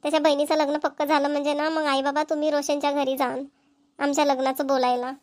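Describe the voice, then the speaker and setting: A woman's voice: sits high, level tone, fast, a little mellow, close to an everyday voice. A woman voicing an earnest, stubborn young village woman in a Marathi cartoon, with a rural Maharashtrian accent. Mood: earnest pleading. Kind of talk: amateur cartoon comedy-drama dubbing.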